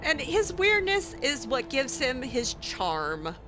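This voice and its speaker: A woman's voice: high-pitched, wildly swooping pitch, takes it slowly, music in the background. A woman doing in-character improvised narration of a video game, musing aloud to herself as she goes. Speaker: let's play host roleplaying her character.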